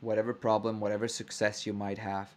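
A man hosting a podcast, chatting casually and warmly echoing his guest's words back. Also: lowish voice, completely flat delivery, a little fast. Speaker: podcast host. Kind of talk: casual interview chat.

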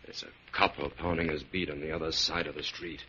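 An actor playing a bossy, gruff ringleader crook with slangy tough-guy talk in a 1940s radio crime drama. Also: rumbling low, level tone, fairly quick, pushed and bright. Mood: low, businesslike. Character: hard-boiled bossy male crook, scheming ringleader. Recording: dull, hissy 1940s radio recording.

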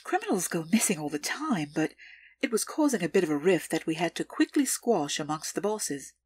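Husky, poised underworld informant. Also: female voice, fairly low pitch, big pitch swings, darkish tone, no echo. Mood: businesslike. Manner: formal, measured, precise wording. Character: poised, calculating female underworld information broker, deferential. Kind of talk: audio drama acting.

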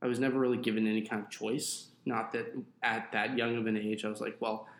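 A man's voice: lowish voice, level tone, fairly quick, echoey room. A young adult man co-hosting a podcast, chatting casually and rambling a little with fillers. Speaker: podcast co-host.

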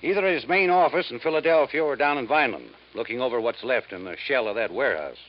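An actor playing a brisk, matter-of-fact insurance man briefing an investigator in a 1950s radio drama. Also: medium pitch, animated, a little hiss or hum, lightly acted. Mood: firm. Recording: dull 1950s radio recording.

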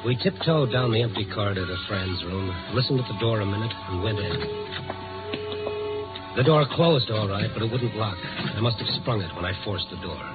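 Actor as tough male reporter, fairly low pitch, clipped firm delivery, 1950s radio drama, tinny vintage recording with music. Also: expressive, moderate pace, lightly acted. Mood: tense narration. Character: tough, steady male Chicago newspaper reporter. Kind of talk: 1950s radio drama acting and first-person narration.

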